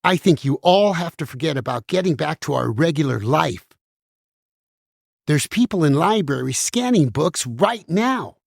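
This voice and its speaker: A woman's voice: sits low, animated, normal speed, gravelly, darkish tone, dry recording, clean studio sound, heavily acted. A woman playing a weary, caring book puppet in a musical puppet show, earnest and confiding. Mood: worried, resigned.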